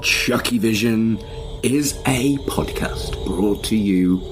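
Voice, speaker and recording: A man's voice: fairly low pitch, takes it slowly. A man reading a scripted podcast outro as an announcer. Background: loud music.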